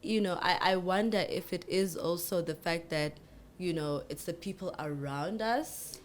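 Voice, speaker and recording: Young woman cohost, kinda low voice, rambly, keeps restarting sentences. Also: moderate pace, slight background noise. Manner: chatty, rambling, full of restarts and asides. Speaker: podcast co-host. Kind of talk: casual chat.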